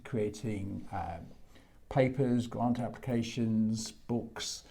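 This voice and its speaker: A man's voice: on the low side, slow pace, vocal fry, warm tone. A man conducting a conversational interview, setting out his questions in an informal way.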